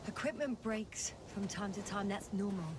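An actress playing a composed, conscientious woman astronaut and scientist in a game, reporting in a measured, professional way. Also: medium pitch, background noise, lightly acted. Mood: concerned. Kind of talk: naturalistic game voice acting. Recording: music behind the voice.

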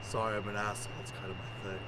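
An actor playing an eccentric professor, speaking in a theatrical, grandiloquent way in an improvised radio play. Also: male voice, on the low side, flat delivery, very fast, very noisy, natural voice. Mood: hospitable, wry. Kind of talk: improvised old-time radio play acting.

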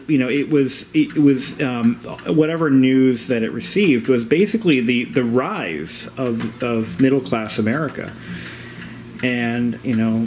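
A man narrating a vintage radio repair in a casual, rambling, halting and plain-spoken way, as a hobbyist vlogger. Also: medium pitch, darkish tone, low-quality recording. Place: indoors in a home. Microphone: handheld camera, operator behind it. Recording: clear background room noise.

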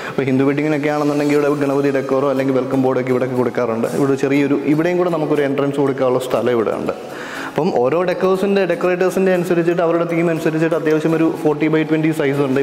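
A man's voice: a little high, a little mellow. A man with a Kerala Malayali accent giving a casual, practical venue walkthrough as an event planner and vlog host, mixing in English terms. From Kerala, India. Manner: explanatory, practical, peppers talk with English terms.

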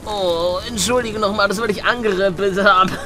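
A man's voice: high voice, steady tone, normal speed, natural voice. A man voicing a cruel, mocking alien gang thug who taunts with sadistic relish in an audio drama. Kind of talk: audio drama character acting. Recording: music under the voice.

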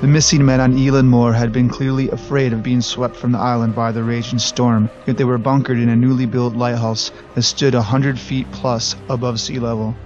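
A man narrating a scripted documentary in a measured, even storytelling manner. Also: mid-range voice. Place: voice-over recorded apart from the footage. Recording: slightly dull recording with faint music.

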